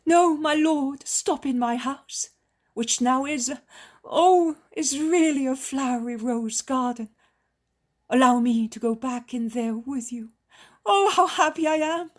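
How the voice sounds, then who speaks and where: A woman voicing an overjoyed healed noblewoman who cries out her devotion in a high, loud voice. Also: slow pace. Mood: ecstatic.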